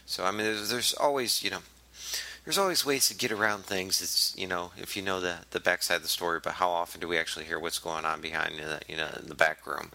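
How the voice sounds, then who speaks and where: A young adult man hosting a podcast, hoarse and raspy, talking in a casual, rambling, self-mocking way. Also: deep voice, steady tone, fast talker, bright, forceful tone, poor audio. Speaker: podcast host.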